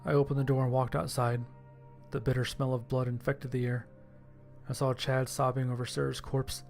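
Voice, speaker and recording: A man reading scripted first-person horror fiction as its narrator, with a gravelly voice. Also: medium pitch, level tone, moderate pace, darkish tone, soft background music.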